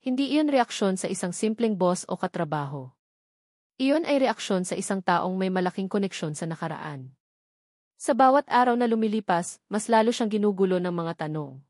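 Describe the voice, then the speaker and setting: A woman's voice: mid-range voice, animated, normal speed, darkish tone, no room sound, clean studio sound. A woman giving scripted, dramatic narration of a story as its narrator.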